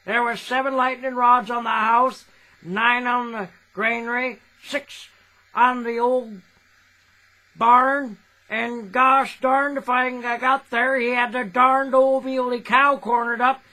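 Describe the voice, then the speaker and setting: A man's voice: very high voice, steady tone, takes it slowly, sharp tone, voice pushed up, heavily acted, low-quality recording. A man playing a folksy, gullible old farmer with a rural American accent, telling a hearty old-time phonograph comedy monologue. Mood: excited, amused.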